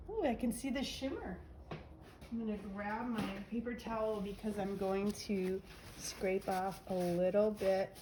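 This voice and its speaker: Brief: woman, nasal, unhurried crafting vlogger talking through each step, faint hiss behind. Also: mid-range voice, dark tone. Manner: chatty, narrates each step while working. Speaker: craft demonstrator. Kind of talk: casual crafting demo.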